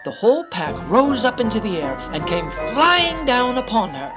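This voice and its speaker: Animated woman narrator over loud music. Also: on the high side, normal speed. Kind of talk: dramatic storybook narration.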